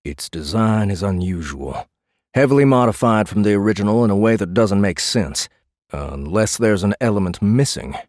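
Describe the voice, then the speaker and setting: Man acting renegade space marshal, lowish male voice, close dry sound, measured and deliberate, protective, dryly teasing, audiobook drama. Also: animated, a little mellow, no room sound, lightly acted. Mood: measured, probing.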